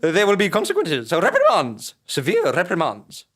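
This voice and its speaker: A man's voice: high voice, huge pitch swings, moderate pace, a bit bright, exaggerated voice. A man playing a pompous, fussy office boss, petty and punitive, in a comic audio drama. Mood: officious outrage.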